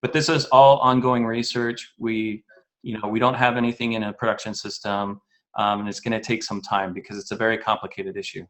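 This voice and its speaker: A man's voice: fairly low pitch, flat delivery, brisk. A man answering interview questions as a guest engineering manager, explaining in a casual way with fillers and self-corrections.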